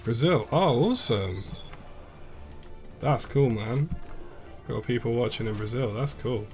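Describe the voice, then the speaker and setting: A young English man streaming a game, chatting with viewers in a relaxed, rambling way full of fillers. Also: mid-range voice, a little slow. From England. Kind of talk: casual stream chat with viewers. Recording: faint background music.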